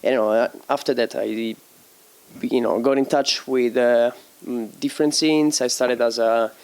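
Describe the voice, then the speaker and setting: Man, young adult, middle pitch, halting, searching for words, interview guest. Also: steady tone, darkish tone, clean tone. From Italy. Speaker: DJ and producer guest. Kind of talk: casual interview answers.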